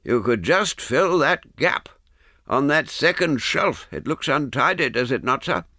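A man voicing a wizened old book collector in a storybook, croaking, obsequious and rambling in a deferential way. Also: mid-range voice, lively intonation, measured pace, sharp tone, no room sound, voice pushed up. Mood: eager, obsequious.

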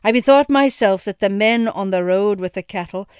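A reader voicing a frightened farmer. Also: male voice, high voice, normal speed, smooth, dry recording, studio quality, voice pushed up, lightly acted. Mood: fearful, grave. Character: frightened middle-aged Irish farmer, a father.

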